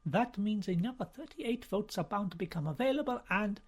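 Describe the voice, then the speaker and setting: A man's voice: high voice, animated, fairly quick, voice pushed up. A man voicing a scheming cardinal, an eager campaigner who talks in an insistent, calculating manner.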